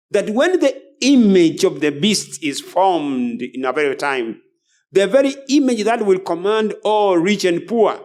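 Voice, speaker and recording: High-pitched male preacher, emphatic delivery. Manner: emphatic, repeats phrases. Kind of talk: sermon with scripture and book readings.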